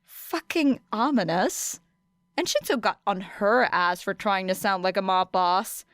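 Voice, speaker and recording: Smooth, clear woman narrating a story. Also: medium pitch, measured pace, a bit bright, dry recording, clean studio sound. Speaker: audiobook reader of fan fiction. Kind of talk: scripted third-person story narration.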